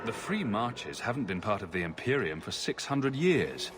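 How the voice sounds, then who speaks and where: Wary, expressive fantasy hero. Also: male voice, lowish voice, moderate pace, slight background noise. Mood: firm. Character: wary male fantasy hero, questioning, defiant. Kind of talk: fantasy game acting.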